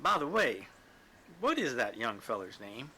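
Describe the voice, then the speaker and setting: Storybook rustic veteran, acted by a male reader: shrewd, folksy, blunt, kindly underneath, with a forceful bright edge. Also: medium pitch, big pitch swings, measured pace, a little hiss or hum, heavily acted. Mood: boastful, brisk. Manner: folksy, dialect-laden, blunt. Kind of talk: storybook character dialogue.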